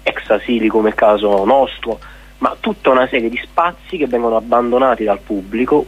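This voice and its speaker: A man's voice: medium pitch, breathy edge. A man explaining things articulately and argumentatively in a radio interview. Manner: articulate, argumentative, rhetorical questions. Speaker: interviewee, activist of an occupied cultural centre. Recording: dull lo-fi radio sound.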